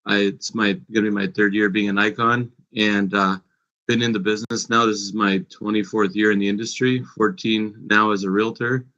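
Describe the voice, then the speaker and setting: Measured man moderating a panel. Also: fairly low pitch, flat delivery, pushed and bright. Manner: hesitant, many "uh" fillers. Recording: dull lo-fi sound.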